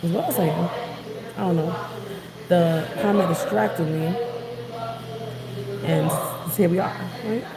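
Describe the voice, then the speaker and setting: A woman's voice: lowish voice, slow pace, long pauses, over a noisy crowd. A woman from Queens with a New York accent, chatting casually to viewers on a livestream while out on the street. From New York City, Queens. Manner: rambling, chatty, greets viewers. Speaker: vlogger. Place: outdoors on the street. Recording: noisy background.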